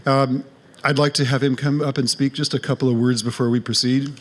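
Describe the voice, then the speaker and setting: A man's voice: medium pitch, level tone, brisk, a faint crowd, a little hiss or hum. A man hosting a congregation program, introducing speakers and making announcements, with frequent ums and uhs. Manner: reads bios, frequent uh and um. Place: congregation meeting hall.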